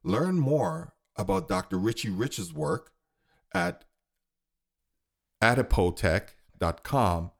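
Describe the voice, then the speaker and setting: A man reading a scripted podcast outro, briskly running through sponsor plugs and web addresses. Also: fairly low pitch, sing-song. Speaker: podcast host.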